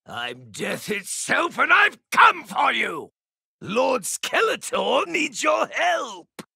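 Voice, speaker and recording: An actor playing a theatrical skull-faced evil sorcerer in a video game, shrill and raspy, with grandiose, bombastic delivery. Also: male voice, wildly swooping pitch, takes it slowly, pushed and bright, no echo, raised voice, clean studio sound, full character voice. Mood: commanding, menacing.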